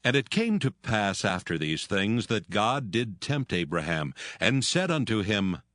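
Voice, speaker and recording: A man's voice: fairly low pitch, sing-song, a little slow, fairly bright tone, dry recording, studio quality. A man narrating scripture as a scripted reading.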